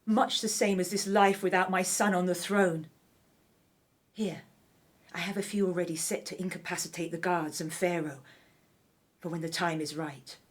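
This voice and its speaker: Woman playing ancient Egyptian royal wife, slightly low voice, imperious, deliberate, scheming, menacing, audio drama. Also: fairly even intonation, close to an everyday voice. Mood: scheming, commanding.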